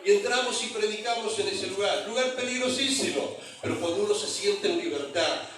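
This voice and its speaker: Argentine male pastor, high-pitched, preaching from afar in a very echoey church hall. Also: brisk, far from the mic, crowd noise. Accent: Argentine Spanish. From Argentina (San Juan). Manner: storytelling with call-and-response to the congregation. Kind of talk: sermon.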